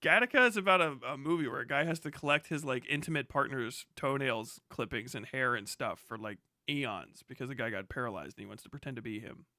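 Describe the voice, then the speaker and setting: A man in his thirties chatting casually as a livestream host, swearing freely and riffing in a sarcastic, animated way. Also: mid-range voice, a little fast, few pauses, no echo, clean studio sound. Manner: profane, animated, sarcastic ranting.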